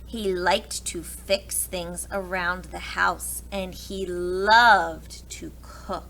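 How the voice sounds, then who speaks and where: A woman reading a storybook aloud to young children in an expressive way, pausing to explain things to them. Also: fairly low pitch, vocal fry, poor audio. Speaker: preschool read-aloud teacher.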